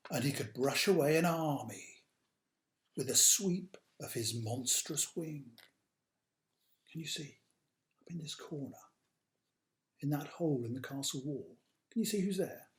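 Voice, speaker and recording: Guy reading a kids story slow n dramatic, voice swoops about, hushed asides. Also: on the high side, expressive. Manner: dramatic, drawn-out emphasis with hushed asides to young listeners. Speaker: author reading aloud own picture book. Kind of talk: animated children's storytime narration. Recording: roomy, echoey sound.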